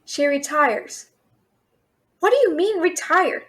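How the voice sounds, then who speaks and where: High-pitched, sassy young captive thief played by a woman. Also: slow, full character voice. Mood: incredulous, skeptical. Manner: expressive, incredulous, sassy, questioning. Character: feisty young thief and alchemist captured by a dragon. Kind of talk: fantasy audio roleplay, one-sided dialogue to listener.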